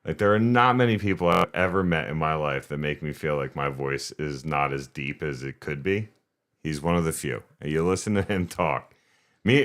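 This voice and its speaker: A man's voice: very deep voice, a bit bright. A man co-hosting a sports podcast, chatting casually and joking with laughs. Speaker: podcast co-host.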